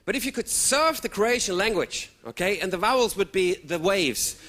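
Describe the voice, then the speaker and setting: A man, performing stand-up comedy for a live audience with lively outbursts. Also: high-pitched, moderate pace. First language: German. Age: 30s. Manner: animated, with sudden loud outbursts and put-on voices. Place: stage before a live audience.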